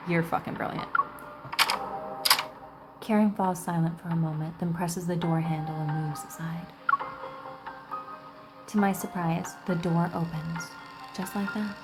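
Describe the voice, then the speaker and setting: Low-pitched irreverent woman gamer. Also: unhurried, long pauses. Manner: irreverent, salty, expressive. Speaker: gaming video host. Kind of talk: reading game dialogue aloud with casual commentary. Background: game music.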